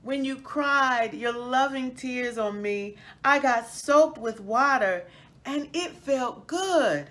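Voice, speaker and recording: A woman's voice: sits high, animated, takes it slowly, fairly bright tone, pitched above the performer's own voice, heavily acted. A woman voicing a young, joyful and grateful little-sister tree in a children's storybook, speaking emphatically. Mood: earnest, delighted.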